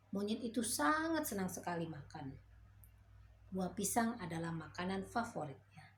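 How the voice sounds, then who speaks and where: A woman storyteller reading a fairy tale to children in a gentle, motherly way. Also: fairly low pitch, slight background noise.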